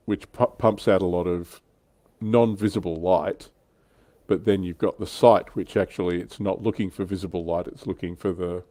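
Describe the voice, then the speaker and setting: Low-pitched, mellow man explaining casually. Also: dry recording. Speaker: livestream host. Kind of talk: casual explanatory history chat.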